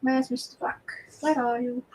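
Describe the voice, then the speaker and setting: Hoarse woman guest artist, high-pitched, casual and halting as she paints. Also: steady tone, very noisy. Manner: chatty, halting, repeats words. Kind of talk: casual chat while painting live.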